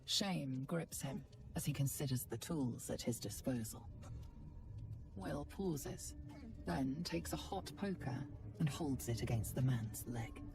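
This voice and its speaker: Grave female game narrator: very low, unhurried, ominous storytelling over music. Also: expressive, recorded from a distance, exaggerated voice. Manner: measured, ominous. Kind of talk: scripted dramatic game narration.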